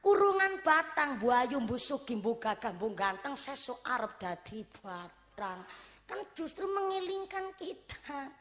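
A woman's voice: high-pitched, unhurried. A middle-aged woman from Cilacap with a Banyumasan ngapak Javanese accent, giving a joking religious lecture and mixing Javanese with Indonesian. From Cilacap, Central Java. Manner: animated, joking, switches between Javanese and Indonesian, laughs often. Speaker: preacher.

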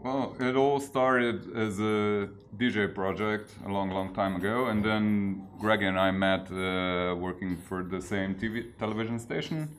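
A man from Slovenia, a band member, relaxed and telling stories in a group interview at a music venue. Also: lowish voice, measured pace, hardly any pauses, slight background noise. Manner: relaxed, storytelling. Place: empty music venue indoors.

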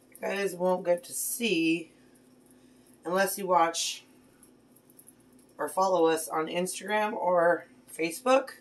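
A woman in her thirties chatting casually in a home kitchen as she cooks with her daughter, praising her often, recorded on a phone camera. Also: fairly low pitch. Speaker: mom cooking with her daughter. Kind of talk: casual cooking vlog chat. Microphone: phone camera on the counter. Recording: clear clatter of dishes and pans.

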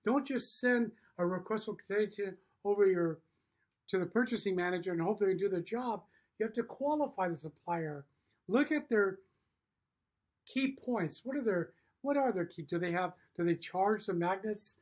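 A man's voice: sits high, lively intonation, normal speed, slightly rough. A middle-aged man giving a promotional message to camera as a business owner and host, emphatic, in short punchy sentences. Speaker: factory owner and show host. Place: indoor room with wood-block walls.

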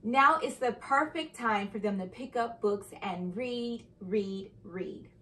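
A young adult woman reading a children's story aloud with expressive, carefully enunciated storytelling delivery. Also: medium pitch, slow pace. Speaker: host and reader of children's read-aloud show. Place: indoor living room by a fireplace.

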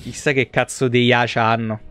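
A man's voice: mid-range voice, little pitch movement, moderate pace, a bit bright, clear, resonant tone. A man, giving casual, blunt and sweary live game commentary to his chat. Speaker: live streamer. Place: indoor room at home, streaming setup. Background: video game music.

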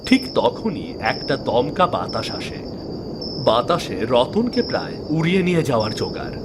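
A man's voice: mid-range voice, huge pitch swings, normal speed, very noisy. A man narrating a scripted fairy tale as a storyteller.

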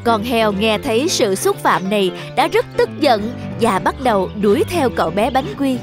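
High-voiced woman storyteller over music. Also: sing-song, normal speed. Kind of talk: scripted children's story narration.

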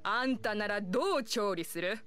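A woman playing a proud, feisty village meat chef in an anime-style game, brisk and self-assured. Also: mid-range voice, lively intonation, fairly bright tone, soft background music, natural voice. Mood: sceptical.

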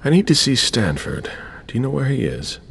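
An actor voicing a guarded, curt and composed vampire agent in a video game, with a low, gravelly, husky voice. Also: sing-song, normal speed, slight background noise. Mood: guarded, probing.

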